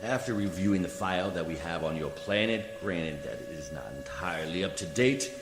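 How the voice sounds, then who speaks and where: A man's voice: low-pitched, fairly quick, close to an everyday voice. A man acting a coolly formal, condescending alien judicial official in a science fiction radio play, speaking with precise diction. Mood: smugly condescending. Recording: echoey hall reverb, music behind.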